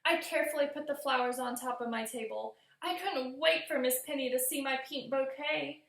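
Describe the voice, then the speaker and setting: A woman with a high, creaky voice reads a picture book aloud to young children. The delivery is expressive storytelling, directed at the listeners. The room adds a noticeable echo. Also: moderate pace, slight background noise. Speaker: teacher reading aloud. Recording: roomy echo.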